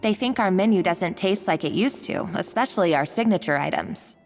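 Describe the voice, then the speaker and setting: A woman's voice: medium pitch, expressive, fairly quick, close to an everyday voice. A woman playing a timid, apologetic young kitchen worker in a game, hesitant and prone to stammering. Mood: hesitant, thoughtful. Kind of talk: English game voice acting. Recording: long reverb over background music.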